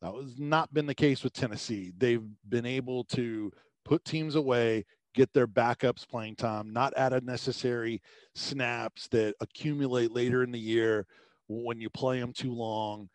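A man from Tampa, a sports radio host, giving animated sports analysis as a podcast guest, his pitch rising on emphasis. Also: a little slow, dry recording. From Tampa, Florida.

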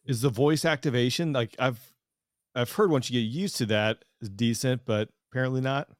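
Male host, mid-pitched voice, dry close sound, loose rambling delivery. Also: moderate pace, no echo. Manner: rambling, lots of fillers and self-corrections. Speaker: podcast host. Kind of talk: casual podcast chat.

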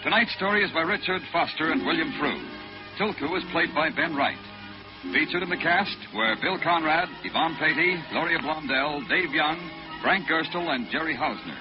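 A man's voice: a little high, moderate pace. A man announcing in a formal, polished 1940s radio style. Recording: muffled vintage radio recording. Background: music.

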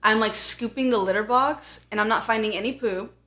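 A young adult woman with an American accent, co-hosting a podcast in casual, animated storytelling with blunt, jokey, profanity-laden talk. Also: fairly high pitch, brisk. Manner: foul-mouthed, blunt, jokey. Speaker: podcast co-host.

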